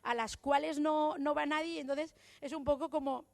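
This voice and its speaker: Woman, youth worker, rambling question full of fillers, high voice. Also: steady tone, moderate pace, gravelly. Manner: rambling, hesitant with many fillers. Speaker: youth services worker asking from the audience. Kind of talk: audience question at a conference. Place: conference hall.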